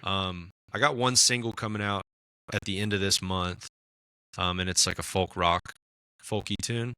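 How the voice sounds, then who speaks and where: A raspy-voiced man in casual podcast chat, talking about his music with lots of fillers and restarts. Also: low voice, fairly even intonation, moderate pace, sharp tone. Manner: rambling, many fillers and restarts. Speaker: musician guest.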